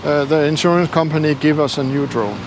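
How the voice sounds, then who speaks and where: An older man with a non-native English accent, talking casually and haltingly to viewers in a self-deprecating way as a travel vlogger. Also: a little high. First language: not English. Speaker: travel YouTuber. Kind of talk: casual vlog talk to camera. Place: outdoors. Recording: noisy outdoor street ambience with traffic.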